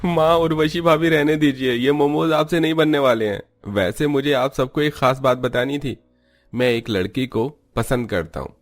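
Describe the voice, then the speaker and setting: A man's voice: medium pitch, normal speed, faint music behind, lightly acted. A man playing a cheeky, persuasive young adult son in a cartoon, teasing and coaxing. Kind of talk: cartoon voice acting.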